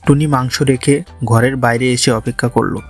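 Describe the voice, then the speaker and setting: A man's voice: medium pitch, fairly even intonation, normal speed. A man narrating a scripted children's fairy tale as storyteller. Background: music.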